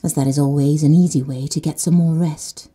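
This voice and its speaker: Breathy, mellow woman guiding sleep. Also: low voice, steady tone. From Netherlands. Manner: slow and soothing, dropping to soft whispers. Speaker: meditation guide. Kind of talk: guided sleep meditation.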